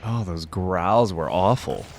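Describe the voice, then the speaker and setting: A man's voice: sits low, expressive, takes it slowly, noisy background. A man giving casual commentary as host of a reaction video, in short murmured remarks. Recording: loud music behind the voice. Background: game voice clips and music.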